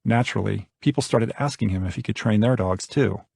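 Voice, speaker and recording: Low, gravelly male audiobook narrator. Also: brisk, a little mellow, dry recording, clean studio sound. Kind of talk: scripted nonfiction audiobook narration.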